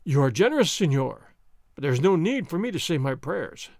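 Man playing a calm commanding masked swordsman. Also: on the high side, normal speed, creaky, no room sound, clean studio sound. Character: cool, confident masked swordsman hero, young man. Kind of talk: audiobook character reading.

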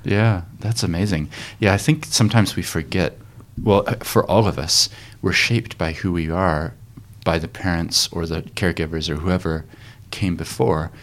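Deep-voiced male podcast host. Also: fairly even intonation, moderate pace, few pauses. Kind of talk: casual interview conversation.

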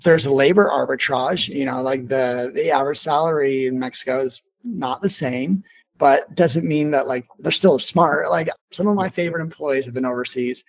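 A man's voice: medium pitch, moderate pace, vocal fry. A man hosting a casual podcast interview, talking informally and rambling a little, with "like" sprinkled through his sentences. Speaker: podcast host and business owner.

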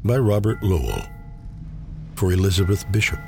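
A man reading poetry aloud in a dramatic recitation, with measured, deliberate phrasing and pauses. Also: sits low, sing-song, creaky, over music. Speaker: poetry reader. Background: faint ambient sound design.

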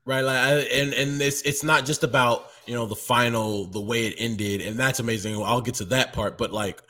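Casting: male sports writer guest, mid-pitch, rambling and animated in casual interview. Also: moderate pace.

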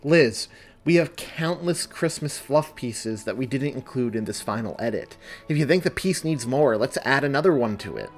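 A man's voice: mid-range voice, sing-song, normal speed, soft background music. Man doing an exasperated, blunt young boyfriend. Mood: exasperated, demanding. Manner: emphatic, incredulous. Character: brawny young boyfriend, exasperated, blunt, grumbling video editor. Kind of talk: audiobook character dialogue.

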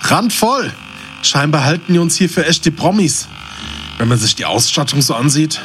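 A man's voice: on the high side, animated, normal speed, fairly bright tone, faint music behind. A man playing a brash, cheeky buddy in a comedy audio drama, talking casually in teasing slang. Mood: teasing, exasperated.

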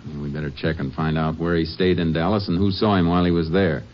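An actor playing a calm, measured American Texas Ranger investigator in a 1950s radio drama. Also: male voice, sits low, natural voice. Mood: probing. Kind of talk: 1950s radio drama acting with first-person narration. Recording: dull old radio recording with music.

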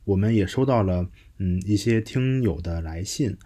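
A man hosting a podcast in a casual, conversational way, pausing now and then as he thinks through his words. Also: fairly low pitch, warm tone. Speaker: podcast host. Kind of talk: casual podcast hosting, reading listener messages.

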